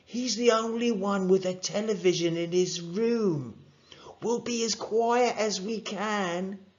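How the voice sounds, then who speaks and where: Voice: medium pitch, lively intonation, takes it slowly, gravelly, lo-fi sound, pitched above the performer's own voice. A narrator voicing a friendly, joking young boy in a children's story. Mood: pleading.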